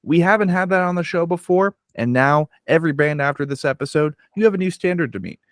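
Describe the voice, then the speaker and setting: A man's voice: a little high, lively intonation, fast talker, no echo, studio quality. A man hosting a podcast, talking animatedly and swearing freely as he rambles through his points. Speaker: podcast host. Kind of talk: casual interview chat.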